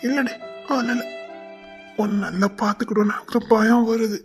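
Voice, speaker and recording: A man acting a devoted young lover, husky and pleading, in a romantic drama. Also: high voice, fairly even intonation, unhurried, faint music behind, heavily acted. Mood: anguished, pleading. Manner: tender, pleading, emotional. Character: devoted, tearful young village man in love.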